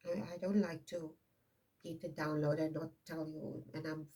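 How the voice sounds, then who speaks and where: A woman's voice: low voice, level tone, moderate pace, dark tone, far from the mic. A South African woman in her fifties whose first language is Afrikaans, giving a casual tarot reading in a rambling way and often checking in with "okay?". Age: 50s. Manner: rambling, tags many lines with "okay?". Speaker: tarot reader. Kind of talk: casual intuitive card reading.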